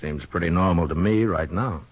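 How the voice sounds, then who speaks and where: A man with an American accent playing a laconic, steady Old West marshal in a radio western, speaking in a dry, understated way. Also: sits low, normal speed, slightly rough. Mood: dry, calm. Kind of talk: 1950s radio western acting. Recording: muffled vintage radio recording.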